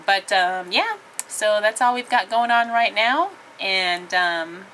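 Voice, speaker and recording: A young woman who works as a radio traffic reporter, chatting casually in an easygoing, halting way with lots of ums and uhs inside a radio station. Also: medium pitch, expressive, sharp tone, rough recording. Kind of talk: casual vlog chat with an on-air traffic read. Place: radio station office and studios, indoors.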